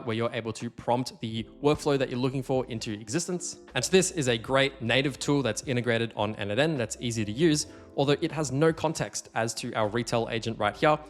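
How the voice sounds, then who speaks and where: A man hosting a casual software tutorial, explaining things conversationally and stressing the key points. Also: mid-range voice. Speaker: tutorial host.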